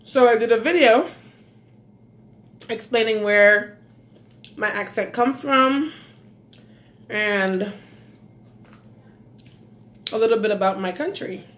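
A woman from Belize with a light Belizean accent chats slowly and unhurriedly as she eats on camera, pausing often for contented mm's. She sits at a table in a small room at home, recorded by a phone camera a short way off. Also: fairly high pitch, fairly bright tone. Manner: slow, savoring, with frequent mm's while eating. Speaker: mukbang vlogger. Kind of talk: casual eating vlog.

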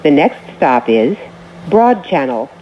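A woman reading scripted transit announcements as a train announcer, in short, measured, formal phrases. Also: sits low, big pitch swings. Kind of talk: scripted automated station announcements. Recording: muffled recording with train noise.

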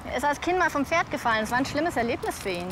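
A woman's voice: high-pitched, animated, very fast, noisy background. An actress playing a young policewoman in a TV crime comedy. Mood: explaining.

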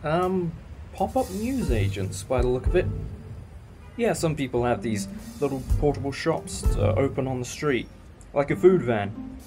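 A man's voice: medium pitch, expressive, unhurried, natural voice. A British man playing an eccentric, enthusiastic alien time traveller in a fan audio drama, musing like a professor. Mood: friendly. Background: music.

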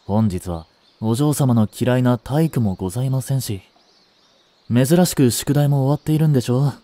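A man's voice: mid-range voice, normal speed, warm tone, no room sound, natural voice. A man playing a devoted, jealous young butler in a voice drama, with formal, clipped, haughty speech. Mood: concerned, formal. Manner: formal, polite, clipped, turning contemptuous toward a rival. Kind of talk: Japanese voice drama acting.

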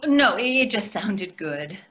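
A woman's voice: mid-range voice, big pitch swings, slow, pushed and bright, heavily acted. An older woman playing a polite, placating tourist diner in a readers theatre comedy, speaking a little hesitantly. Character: polite, hungry New York tourist diner, conciliatory. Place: plain room indoors on a video call. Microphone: video call microphone.

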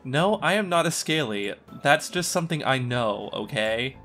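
A man's voice: mid-range voice, expressive, moderate pace, a bit bright. A young man co-hosting a casual chat in a playful, slangy way. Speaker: podcast co-host. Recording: music playing under the talk. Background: guitar music.

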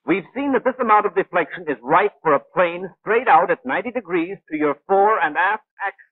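An actor playing a brisk, know-it-all gunnery instructor in a 1940s animated training film, precise, clipped and didactic. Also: male voice, high-pitched, no echo, narrow, tinny audio. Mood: instructive. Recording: thin, band-limited 1940s film soundtrack.

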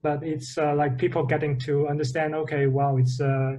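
A man's voice: a little high, little pitch movement, moderate pace, dark tone. A young man from the Netherlands, a guest on a video call from a bedroom, talking hesitantly and searching for words. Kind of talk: casual interview. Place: bedroom, indoors.

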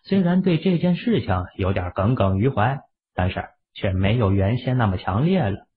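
A man narrating a novel as an audiobook in an expressive storytelling manner. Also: lowish voice, normal speed, breathy edge. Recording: tinny phone-like audio.

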